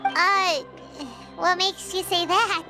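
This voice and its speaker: A woman voicing a tiny, pert, skeptical childlike fairy companion in a video game, squeaky and exclamatory. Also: level tone, slow pace, full character voice. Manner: exclamatory, refers to self in third person. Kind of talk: video game dialogue acting. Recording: loud background music.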